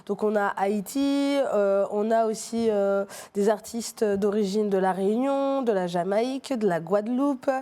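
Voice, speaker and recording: Mellow-voiced woman, hesitant and halting. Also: mid-range voice. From Martinique. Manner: hesitant, many fillers and restarts. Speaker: street artist guest. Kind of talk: casual interview talk.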